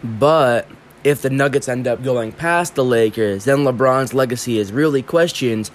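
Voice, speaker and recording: A man's voice: medium pitch, moderate pace, a little hiss or hum. A congested man hosting his own show, delivering an opinionated, emphatic sports monologue. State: congested from allergies. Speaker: podcast host.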